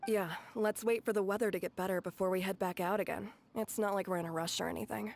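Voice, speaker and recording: A woman voicing a calm, content woman in a video game, chatting plainly. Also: medium pitch, fast talker, vocal fry, a little hiss or hum, lightly acted.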